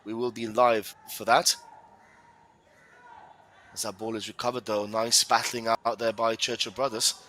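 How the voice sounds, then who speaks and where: A man's voice: fairly low pitch, level tone, a little slow, long pauses, bright, forceful tone. A man from Gibraltar doing live play-by-play football commentary, chatty and reading out viewer questions.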